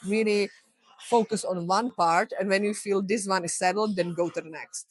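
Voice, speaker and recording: A woman's voice: mid-range voice, fairly even intonation, normal speed, no room sound, low-quality recording. Young Czech-accented woman, podcast guest coach, earnest and encouraging, dry close sound. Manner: earnest, encouraging, many "you know" fillers. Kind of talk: casual podcast interview.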